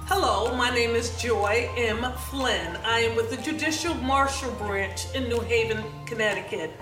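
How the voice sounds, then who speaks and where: A woman's voice: a little high, a little slow. A woman on a court marshal staff giving a proud, upbeat interview statement. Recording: distant echoey room, music behind.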